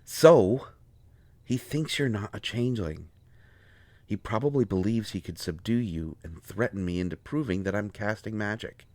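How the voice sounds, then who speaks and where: Man acting an expressive, exasperated fantasy healer, dry close sound. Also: lowish voice, moderate pace, dry recording, lightly acted. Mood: wary. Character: indignant, hurt young healer mage in fantasy tale. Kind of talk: dramatic roleplay audio acting.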